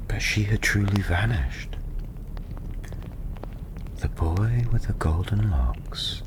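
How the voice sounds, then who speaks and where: Very low, hushed, slow fantasy storyteller. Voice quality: soft, breathy, half-whispered. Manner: soothing, hushed, unhurried. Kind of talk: ASMR fantasy bedtime storytelling, scripted narration. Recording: constant ambient noise behind the voice. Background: ambience, crackling tavern fire.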